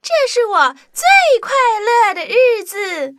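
A tiny, headstrong princess in a storybook radio play. Her voice is piping and very bright, leaping about with childish excitement. She whines and insists with bouncy eagerness. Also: very high voice, slow, dry recording, clean studio sound, soft background music, full character voice. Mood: excited, eager. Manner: excitable, whining, childlike exclamations. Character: eager, bouncy, stubborn little princess girl.

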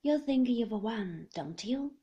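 A woman's voice: fairly high pitch, animated, slow pace, breathy edge, lo-fi sound, heavily acted. A woman voicing a shaken, sneering man who speaks jerkily and mockingly. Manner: jerky, bitter, mocking.

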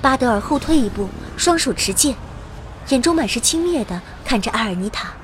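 A woman's voice: on the high side, lively intonation, fairly quick, music in the background, natural voice. An actress playing an earnest young female adventurer who narrates her story in a fantasy radio drama. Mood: urgent, dramatic.

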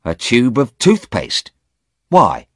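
A man's voice: medium pitch, huge pitch swings, slow pace, no echo, clean studio sound, pitched above the performer's own voice, exaggerated voice. A man reading aloud voices a defensive young man who hesitates and dodges questions. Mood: evasive.

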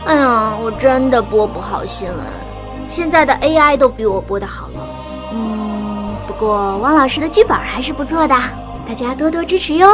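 A woman's voice: on the high side, normal speed. A woman who does amateur voice acting, chatting casually to listeners. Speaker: amateur voice actor. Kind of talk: casual behind-the-scenes chat. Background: music.

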